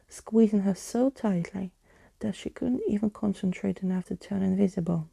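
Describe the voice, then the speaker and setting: A woman reading a story aloud as ASMR, hushed and often slipping into a whisper, close to the mic. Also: mid-range voice, creaky, husky, dry recording.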